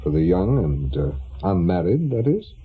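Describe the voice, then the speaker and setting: A man's voice: sits low, big pitch swings, normal speed, slightly rough, exaggerated voice. A man playing an awkward, wry middle-aged ex-policeman turned private eye in a radio play, muttering and hesitant. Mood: wry, defensive. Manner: hesitant, muttering, dryly sardonic. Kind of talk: naturalistic radio drama acting. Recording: dull, lo-fi radio drama recording.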